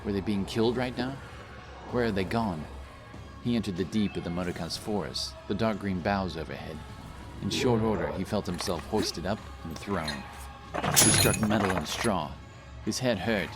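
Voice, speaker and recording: A man reading a scripted fantasy story as narrator, in a dramatic, solemn and measured style. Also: low-pitched. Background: music.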